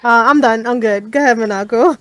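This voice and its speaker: A woman co-hosting a casual gaming podcast, blunt and quick to swear and laugh. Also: fairly high pitch, a bit bright, no room sound. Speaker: podcast co-host, streamer.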